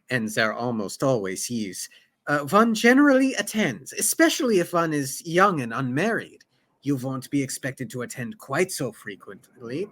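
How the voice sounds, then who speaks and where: A man's voice: on the high side, fairly bright tone. A man playing a courteous, formal steward who tutors his mistress, in a fantasy novel read aloud. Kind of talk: acted reading of a fantasy novel.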